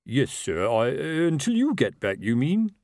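A flustered senior police sergeant from an audiobook, played by a male narrator, hesitates and stammers through his words. His pitch jumps around uncertainly. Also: sing-song, measured pace, darkish tone, dry recording, clean studio sound.

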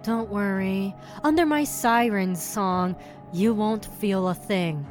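A woman's voice: medium pitch, takes it slowly, faint music behind, lightly acted. A woman playing a vengeful siren, a menacing and protective sister, in an audio drama. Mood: cool, menacing.

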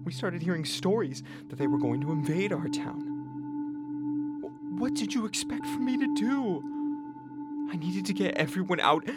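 An actor playing a seventy-year-old Syrian father, a retired teacher, wounded and pleading in a halting, emphatic monologue over music. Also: sits high, animated. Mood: agitated, defensive. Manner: halting, emphatic, repeating phrases, long pauses. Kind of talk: dramatic phone-call monologue.

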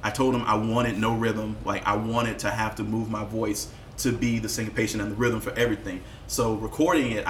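Man, musician guest, candid storytelling, reflective, expressive, casual swearing, roomy echo, faint background noise. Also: fairly low pitch. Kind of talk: candid podcast conversation.

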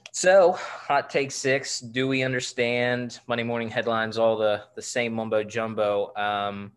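A man's voice: medium pitch, steady tone, measured pace, clean tone. A man in his twenties co-hosting a sports podcast, joking and rambling with lots of fillers. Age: 20s. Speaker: podcast co-host. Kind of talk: casual sports podcast chat.

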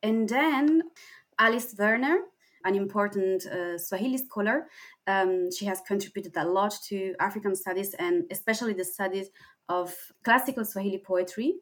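A woman researcher on an academic panel, explaining her work in a halting way with frequent pauses and restarts. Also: medium pitch. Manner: hesitant, many fillers and restarts while explaining.

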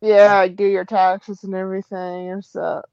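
A woman's voice: medium pitch, level tone, normal speed, creaky, darkish tone, clean tone, no echo, dull audio. A woman in her mid twenties in casual podcast chat, shy and amused as she dodges questions. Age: 20s.

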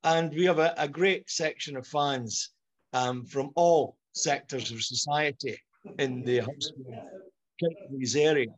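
A middle-aged man with a Scottish accent, speaking as a guest on a podcast discussion in a measured, articulate way. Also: on the high side, low-quality recording. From Scotland.

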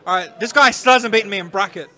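Aussie dude on a live podcast, high bright voice, swears a lot while he tells it. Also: fast. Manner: storytelling, swearing, laughing. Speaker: podcast co-host. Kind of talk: casual banter. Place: live event venue.

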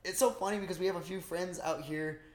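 A young man co-hosting a podcast, chatting casually and excitably in slangy speech. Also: high-pitched, fast talker. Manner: slangy, excitable, says bro and dude often. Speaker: podcast co-host. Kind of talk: casual chat about geek movies. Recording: roomy, echoey sound.

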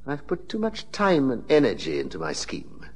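An actor playing a menacing, mock-courteous blackmailer with a violent temper in a radio drama. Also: male voice, mid-range voice, wildly swooping pitch, breathy edge. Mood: threatening. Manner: mock-courteous, deliberate, threatening.

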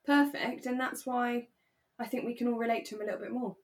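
A woman's voice: a little high, little pitch movement, fast talker. A British woman co-hosting a podcast, talking casually about a drama series. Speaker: podcast co-host.

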